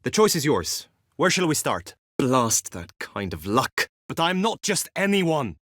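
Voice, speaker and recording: An actor playing an earnest, lovestruck young knight in a video game, speaking in a courtly, theatrical manner with formal archaic phrasing. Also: male voice, medium pitch, sing-song, unhurried, a bit bright, no echo, clean studio sound. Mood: anxious, pleading.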